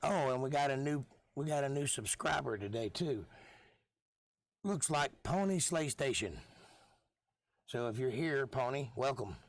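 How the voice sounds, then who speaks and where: Hoarse middle-aged man chatting. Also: medium pitch, lively intonation, measured pace, pauses often. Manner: laid-back, chatty, folksy. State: losing his voice, hoarse. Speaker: live stream host and musician. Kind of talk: casual live-stream chat with viewers.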